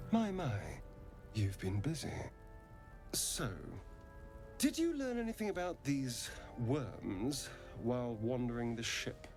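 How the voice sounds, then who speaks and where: An actor playing a sly, haughty male rogue in a video game, with a theatrical, mock-polite manner and a menacing edge. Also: mid-range voice. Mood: amused, sly. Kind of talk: video game character acting.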